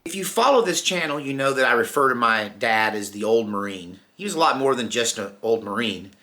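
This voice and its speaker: A middle-aged American man telling personal stories informally, in a folksy, reflective manner with unhurried pauses. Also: mid-range voice, animated, pushed and bright. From Texas, United States. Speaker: storyteller, channel host.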